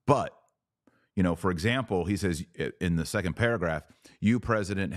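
Low-pitched man giving podcast commentary. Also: no echo, clean studio sound. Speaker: podcast host and religious commentator. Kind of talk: podcast commentary, reading a text aloud and remarking on it.

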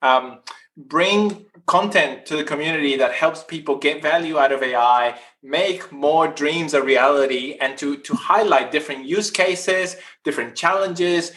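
Podcast guest: man in his forties from Chile, earnest and long-winded, pitched a bit high. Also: a little slow, fairly bright tone. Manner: earnest, long winding answers. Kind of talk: casual podcast interview.

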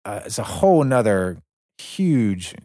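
A man's voice: on the low side, very animated, measured pace, mellow, no echo, clean studio sound. A man co-hosting a casual podcast, talking eagerly and often restarting his sentences. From United States. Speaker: podcast co-host.

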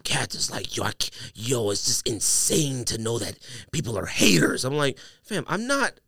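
Animated, slangy man chatting on a podcast. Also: medium pitch, moderate pace, slightly rough, no echo. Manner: slangy, animated storytelling, acts out dialogue. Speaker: podcast host. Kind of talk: casual podcast chat.

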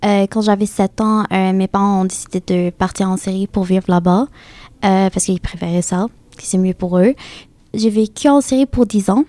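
A twenty-one-year-old woman with an accent from years in Syria, introducing herself hesitantly with many pauses. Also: mid-range voice, warm tone, no room sound. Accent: accent shaped by ten years in Syria. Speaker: student guest. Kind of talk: casual group discussion.

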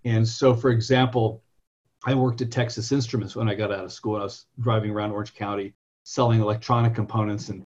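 A man in his fifties from California, a software entrepreneur telling long, self-correcting stories as a guest in an interview. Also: fairly low pitch, steady tone, brisk, muffled recording. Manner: rambling, self-correcting, anecdotal. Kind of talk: conversational interview storytelling.

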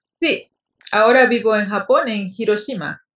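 A middle-aged woman with a Salvadoran accent, a language teacher answering interview questions hesitantly with soft pauses. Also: medium pitch, lively intonation. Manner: hesitant, laughs softly between answers. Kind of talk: conversational interview. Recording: dull, lo-fi audio.

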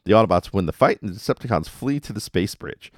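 A man's voice: fairly low pitch, animated, quick pace, no echo, studio quality. A man hosting a podcast, casually recapping episodes with wry, jokey asides. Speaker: podcast host.

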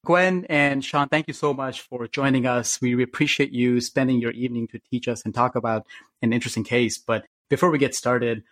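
Guy hosting a podcast, medium pitch, scripted bits and casual chat, really dry audio. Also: fairly even intonation, fairly quick, fairly bright tone, no echo. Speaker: podcast co-host.

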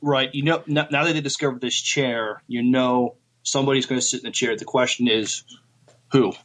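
A man's voice: medium pitch, fairly even intonation, moderate pace, a bit bright, rough recording. A man in a casual podcast discussion, talking in a hesitant, thoughtful way as he gives his opinions. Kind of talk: casual TV review chat.

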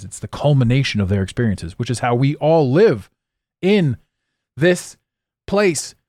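A man hosting a solo podcast, talking intensely and repeating words as his voice swings between loud and quiet. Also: mid-range voice, dry recording, clean studio sound. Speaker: podcast host.

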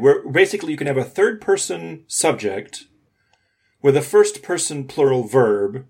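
Husky-voiced man explaining informally. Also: medium pitch. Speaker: podcast co-host.

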